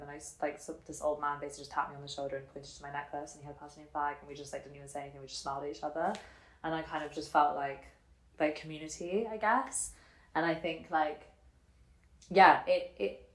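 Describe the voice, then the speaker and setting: A woman in her twenties telling her personal story casually and reflectively, thinking aloud in halting, informal phrases. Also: sits low. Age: 20s. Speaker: interviewee telling her family and life story. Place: indoor room in Germany. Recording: roomy echo on the voice.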